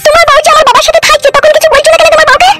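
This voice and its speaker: A woman's voice: very high voice, level tone, rapid-fire, over loud music. A woman voicing a lovesick, pleading, insistent young woman in an amateur comedy cartoon.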